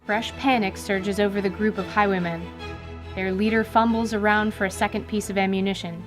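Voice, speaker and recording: A woman's voice: medium pitch, steady tone, a little fast. A woman giving dramatic, scripted narration for a fantasy radio play. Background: music.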